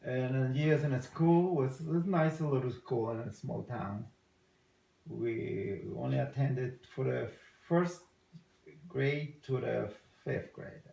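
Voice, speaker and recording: Salvadoran guy in his 60s, gravelly voice, hesitant english with lots of uhs, echoey room. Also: mid-range voice, dark tone. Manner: halting, many uh fillers, non-native English. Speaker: interviewee. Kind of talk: casual family interview.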